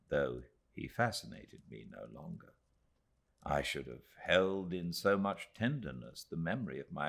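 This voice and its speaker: British man, very deep gravelly voice, audiobook narration, deliberate pauses, dramatic phrasing. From Britain.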